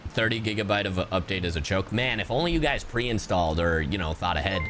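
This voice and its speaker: A man doing casual livestream game commentary. His voice is a bit low but jumps around expressively. He is joking, irreverent and slangy, with soft game music behind him. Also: animated, fast. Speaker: game streamer.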